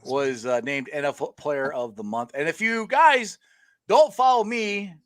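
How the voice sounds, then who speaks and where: A middle-aged man hosting a casual sports chat, telling stories in a rambling, animated way with many restarts and laughs. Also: on the high side, unhurried, no echo, clean studio sound. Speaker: podcast host.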